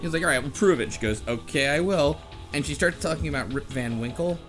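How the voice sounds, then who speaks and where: A man co-host chatting casually in a halting, rambling way. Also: fairly high pitch, a bit bright, soft background music. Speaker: podcast co-host. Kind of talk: casual chat.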